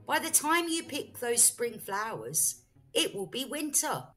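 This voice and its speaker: A woman's voice: fairly high pitch, sing-song, measured pace, pushed and bright, faint music behind, pitched above the performer's own voice, exaggerated voice. A woman voicing a rude, boastful male hare in a storybook, with a taunting, exclamatory delivery. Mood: mocking.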